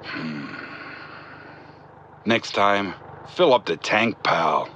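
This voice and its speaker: An actor playing a curt, suspicious border officer who questions travelers in terse phrases, in an audio drama. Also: male voice, low voice, animated, very slow, pushed and bright, a little hiss or hum, exaggerated voice. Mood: stern, suspicious. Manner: terse, interrogating.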